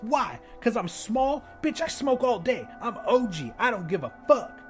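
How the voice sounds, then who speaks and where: A man's voice: very high-pitched, very animated, unhurried, faint music behind, voice pushed up, heavily acted. A man voicing a small, cocky, foul-mouthed stoner in a brash, combative audiobook character voice.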